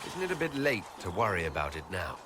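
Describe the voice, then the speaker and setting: An actor playing a calm, wry, skeptical hero in a video game, with an understated conversational manner. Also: male voice, low voice, fast talker. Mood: calm, frank. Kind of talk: dramatic video game dialogue. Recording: loud background noise.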